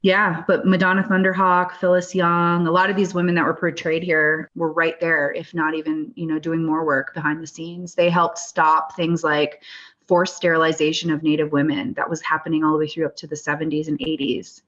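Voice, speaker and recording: Low-voiced woman speaking earnestly. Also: little pitch movement, moderate pace, a bit bright, lo-fi sound. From Standing Rock, US. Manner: earnest, thoughtful, with frequent restarts. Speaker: guest artist. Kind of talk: interview conversation. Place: Denver, US.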